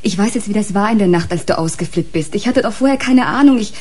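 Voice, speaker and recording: Woman acting a mellow-voiced, troubled young lady. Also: medium pitch, lively intonation, quick pace, lightly acted. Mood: pleading. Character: troubled, pleading woman wanting to get away. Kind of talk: German radio crime play acting.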